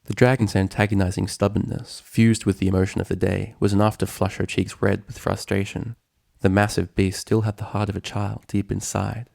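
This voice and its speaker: A male narrator reads a dramatic story. His voice is low and noticeably gravelly, with a solemn, measured delivery, recorded very dry and close. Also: little pitch movement, a little mellow, no echo. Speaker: narrator, fan fiction reader.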